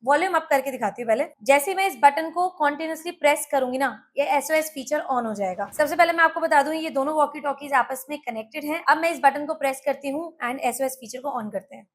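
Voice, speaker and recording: A woman's voice: sits high, fast talker, hardly any pauses. A woman with an Indian accent hosting a casual product review, explaining things step by step and speaking directly to viewers.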